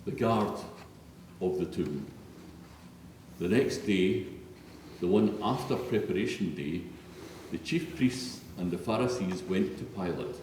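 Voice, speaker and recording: A man's voice: sits low, animated, slow pace, long pauses, darkish tone. A man narrating scripture solemnly as a reader in a church. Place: large echoey church interior. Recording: distant, very echoey church, faint noise.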